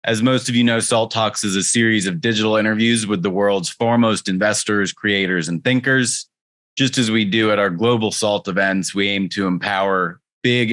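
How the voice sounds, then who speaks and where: A male host with a low voice reading a scripted welcome. His voice sounds dry and close, with no room around it. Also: fairly bright tone, dry recording. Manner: reads a prepared welcome. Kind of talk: scripted show intro.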